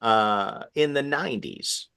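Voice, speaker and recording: A man with a lively, swinging intonation talks in a casual discussion. His voice is higher or lower as he goes, reflective and halting, with frequent restarts. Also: creaky, clean tone. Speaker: theatre panel participant.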